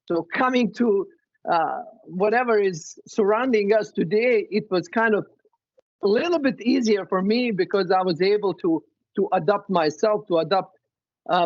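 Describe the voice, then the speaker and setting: A man's voice: sits high, no echo, lo-fi sound. A man from Bosnia and Herzegovina, a former coach, talking earnestly on a webinar panel with many restarts.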